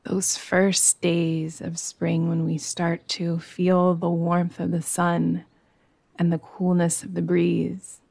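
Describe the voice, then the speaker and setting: A woman teaching yoga nidra, guiding a meditation in a soothing, gentle way with long, unhurried pauses. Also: lowish voice, monotone, gravelly, dry recording. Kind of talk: guided meditation.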